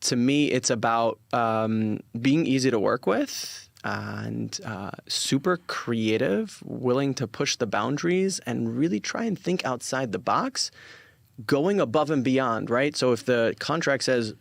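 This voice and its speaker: A man's voice: medium pitch, lively intonation, a little slow, vocal fry, no room sound, clean studio sound. A forty-year-old man speaking casually as an interview guest, earnest and emphatic, repeating words and acting out quoted dialogue. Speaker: interview guest, influencer marketing company founder.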